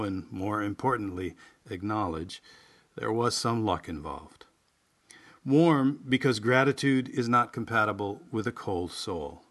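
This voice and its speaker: A man. He speaks as a solo podcast host and writer, with a folksy, unhurried delivery. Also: lowish voice, a bit bright. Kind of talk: casual monologue and reflective essay reading.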